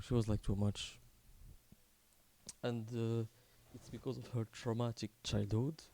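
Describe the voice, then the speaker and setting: A man in his twenties from Morocco tells a personal story unscripted, in a halting, candid, casually sweary way. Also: on the low side, gravelly, mellow. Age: 20s. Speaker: video creator telling his life story.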